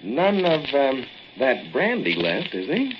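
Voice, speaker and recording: An actor playing a wry, deadpan husband, in 1940s radio acting. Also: male voice, mid-range voice, takes it slowly. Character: wry, dry-humored husband. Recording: dull old radio recording with hiss.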